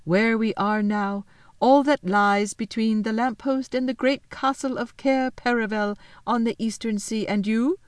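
A woman voicing a courteous faun gentleman. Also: a little high, fairly even intonation, dry recording, pitched above the performer's own voice, lightly acted. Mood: wistful. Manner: courteous, slow and formal. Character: polite, fussy, melancholy male faun.

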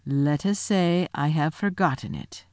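Slow, grave lion king, played by a woman. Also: a little mellow, clean tone, no room sound, studio quality. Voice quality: low, growling. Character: grave, majestic male lion king, calm authority. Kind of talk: audiobook fantasy character voice.

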